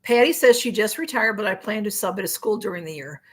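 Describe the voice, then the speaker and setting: A woman's voice: mid-range voice, expressive, fast talker, slightly rough. A woman in her sixties chatting casually on a live stream, reading viewer comments aloud, rambling with lots of fillers and frequent laughs. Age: 60s. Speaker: livestream host.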